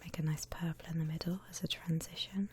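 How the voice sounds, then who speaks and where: A woman whispering breathily and gently as she hosts an unhurried ASMR art tutorial. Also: low-pitched, flat delivery. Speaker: host of an ASMR drawing channel. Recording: faint background noise.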